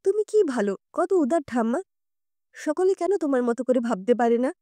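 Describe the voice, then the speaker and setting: A woman voicing an anxious, soft-hearted young woman in an audio story, earnest and pleading. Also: sits high, sing-song, moderate pace, no echo, studio quality.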